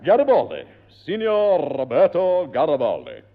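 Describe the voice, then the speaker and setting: An actor playing a pompous, chatty neighbour in a 1960s radio sitcom, speaking in a theatrical, rambling way. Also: male voice, a little high, huge pitch swings, moderate pace, full character voice. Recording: dull echoey 1960s radio recording.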